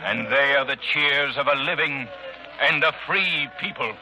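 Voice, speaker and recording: A man's voice: mid-range voice, unhurried, natural voice. A man playing a rousing, declamatory ally. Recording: hissy 1930s radio broadcast.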